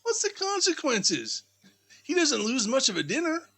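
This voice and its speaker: A man voicing a cheerful, good-natured young Victorian gentleman in a chatty, animated way for a storybook. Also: extremely high pitch, measured pace, husky, pitched above the performer's own voice, exaggerated voice. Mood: cheerful, pitying.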